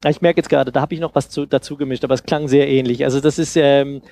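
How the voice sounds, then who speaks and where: A man in his thirties giving an informal demonstration talk into a headset mic, explaining haltingly and thinking aloud. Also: mid-range voice, fairly even intonation, dark tone. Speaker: conference speaker on sound design. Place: seated on a sofa in a festival room. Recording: clear background noise and ambience.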